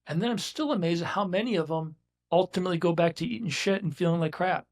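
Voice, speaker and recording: A man's voice: high-pitched, fast. A man in his sixties, a business owner talking as a guest in a casual interview, blunt and emphatic, often restarting his sentences. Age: 60s.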